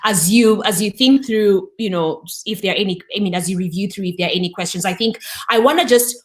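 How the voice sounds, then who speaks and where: A woman from Kenya talks openly about her own experience, affirming what her partner says and often pausing on fillers. Her voice sits in the middle range. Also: mid-range voice. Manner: warm, candid, affirming, with frequent fillers. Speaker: co-host. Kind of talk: casual conversation.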